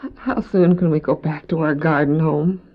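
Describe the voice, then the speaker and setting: A woman's voice: low-pitched, fairly even intonation, moderate pace, lightly acted. An actress playing a tender, naive and hopeful biblical wife and mother, emotive and hesitant, in an audio drama. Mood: tearful. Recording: muffled old cassette drama with music.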